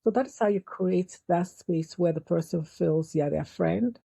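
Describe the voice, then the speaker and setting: A Nigerian woman with a Nigerian accent, a podcast guest calmly explaining her work with young people. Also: fairly low pitch, measured pace, creaky, breathy edge, no room sound. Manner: calm, explanatory, eases into points with fillers. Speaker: guest, youth mental health foundation founder. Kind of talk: casual interview conversation.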